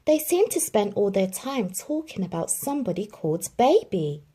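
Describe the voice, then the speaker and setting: A woman reading a children's picture book aloud, telling the story expressively with dramatic pauses. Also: mid-range voice, big pitch swings, mellow, husky. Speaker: narrator.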